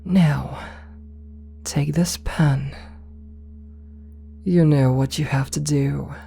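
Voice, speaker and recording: A woman playing a possessive, seductive and domineering rich CEO in an ASMR roleplay, speaking in a soft, breathy near-whisper with slow, coaxing, condescending affection. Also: low-pitched, fairly even intonation, full character voice. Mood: calm, satisfied. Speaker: voice actor in an audio roleplay. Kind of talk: ASMR roleplay, intimate slow address to listener.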